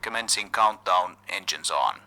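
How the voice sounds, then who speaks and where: A man's voice: low-pitched, expressive, moderate pace, vocal fry, bright, forceful tone. A man delivering a scripted countdown radio call through a distorted walkie-talkie filter.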